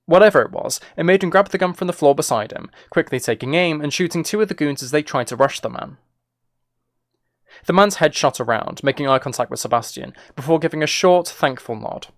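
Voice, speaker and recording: A man's voice: on the high side, a little fast, dry recording, studio quality. A man giving a dramatic scripted audiobook-style narration as an author reading a story. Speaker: author and streamer reading own book.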